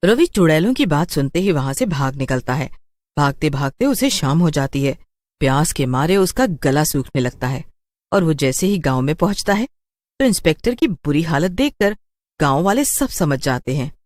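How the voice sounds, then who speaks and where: A woman's voice: sits low, animated, moderate pace, darkish tone, dry recording, clean studio sound. A woman narrating a scripted horror story for a cartoon.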